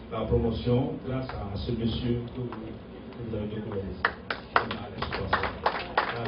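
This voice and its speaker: A man gives a halting thank-you speech, pausing often and filling gaps with ums. His voice reaches the mic from a distance and rings around a large hall. The sound is muffled, with crowd noise behind. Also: on the low side. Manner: hesitant, many pauses and ums. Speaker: honoree giving thanks. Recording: distant, echoey, dull, noisy hall.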